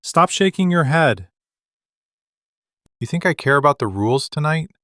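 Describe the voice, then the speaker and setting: A man playing a possessive, brooding mafia boss lover in a scripted boyfriend ASMR roleplay, menacing and commanding, with deliberate dramatic pauses. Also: on the high side, lively intonation, fairly bright tone, no room sound, clean studio sound. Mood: angry, hurt.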